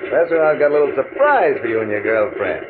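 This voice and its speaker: An American man acting a domineering, cocky gangster boss in 1950s radio style, slangy and quick to bark orders. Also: mid-range voice, very animated, lightly acted. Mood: cocky, jovial. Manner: bullying, slangy, barks orders. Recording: tinny, noisy old radio broadcast.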